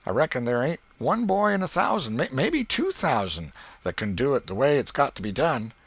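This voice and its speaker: Casting: male narrator as crafty young country boy, high swooping pitch, folksy dialect, coolly feigning reluctance, for an audiobook. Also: very animated, normal speed, husky, no room sound. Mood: feigned reluctance. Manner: folksy rural dialect, drawling hesitations. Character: sly, carelessly cool schoolboy feigning reluctance.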